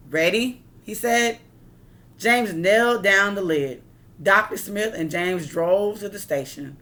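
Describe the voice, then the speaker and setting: A woman's voice: lowish voice, a bit bright. A woman reading a picture book aloud like a teacher, expressively, with casual asides that explain and question her listeners.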